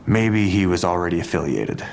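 A police detective in an audio drama. His voice sits very low and he reasons in a deliberate, analytical way. A faint rumble runs underneath. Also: very animated, creaky, darkish tone, exaggerated voice. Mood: measured, speculative.

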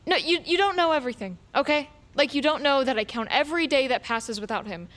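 Guarded teenage girl, hesitant, earnest, slightly high voice, natural audio drama acting. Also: expressive, fast talker, fairly bright tone. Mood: defensive, upset. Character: Clementine, guarded, awkward, grieving teenage girl.

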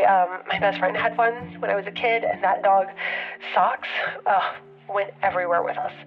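A woman's voice: mid-range voice, close to an everyday voice. An actress with an American accent playing an anxious, grieving woman leaving a hesitant, rambling, confessional voicemail in an audio drama. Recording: muffled lo-fi phone message with music behind.

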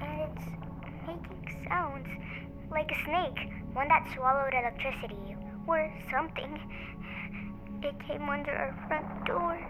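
A woman's voice: high voice, measured pace, rough recording. A woman playing a frightened little girl calling an emergency line in an audio drama. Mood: scared, breathless urgency. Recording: loud music under the voice. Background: loud dramatic music.

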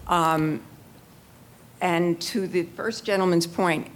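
A woman's voice: fairly even intonation, slow pace. A woman in the audience of a live event, making a casual comment and asking questions. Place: live book fair event. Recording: low rumble, noisy live event.

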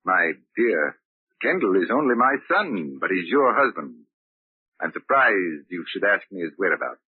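A bitter old man of 1940s radio drama, his voice low and husky. He is coldly polite and condescending, every courtesy laced with spite. The recording is dry, thin and band-limited, like an old radio. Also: big pitch swings, moderate pace, no echo, narrow, tinny audio, full character voice. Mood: coldly disdainful.

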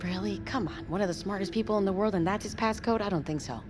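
A woman's voice: medium pitch, fast talker, lightly acted. An actress in an audio drama playing a serious, determined ex-Navy woman with a focused, urgent manner. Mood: challenging. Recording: loud music bed. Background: loud dramatic music.

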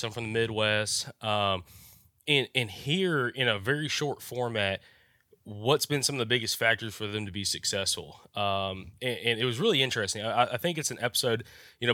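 A man's voice: mid-range voice, pushed and bright, no echo, clean studio sound. A man, nasal from a cold, chatting casually as a podcast co-host, rambling with lots of fillers and restarts.

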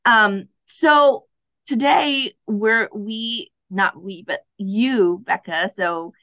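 Slow-talking woman co-host, muffled dry sound. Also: mid-range voice, fairly bright tone, dry recording. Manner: halting, many ums and restarts. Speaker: community radio co-host. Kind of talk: casual radio chat.

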